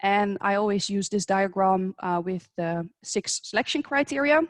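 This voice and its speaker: A woman from the Netherlands with a Dutch accent, giving a conference presentation, measured and a little hesitant, with frequent fillers and restarts. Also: medium pitch, expressive, no echo, clean studio sound. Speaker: presenter at a professional webinar. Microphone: remote video call.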